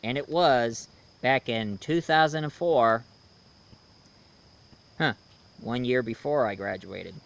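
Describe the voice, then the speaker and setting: A man in his thirties giving a casual, unscripted review as the host, haltingly, often searching for words and repeating himself. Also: mid-range voice, creaky.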